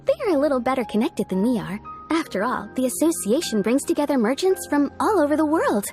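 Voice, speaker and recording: An actress playing a courteous, chatty kimono shopkeeper in a video game, obliging and practical. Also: female voice, sits high, lively intonation, quick pace, a little mellow, faint music behind. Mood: helpful.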